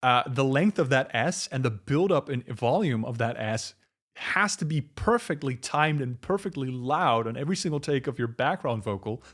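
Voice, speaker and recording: Young man explaining vocal recording. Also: normal speed. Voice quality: low, full voice. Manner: animated, gestures with hands while explaining. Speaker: music producer and vocal coach. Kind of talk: explanatory tutorial to camera. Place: small dim home studio, indoors. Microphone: studio condenser mic on boom arm in front.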